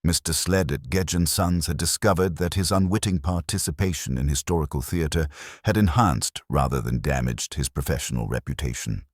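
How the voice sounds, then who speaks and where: A man reading scripted story narration in a measured, formal way, like an audiobook. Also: rumbling low, dry recording, studio quality.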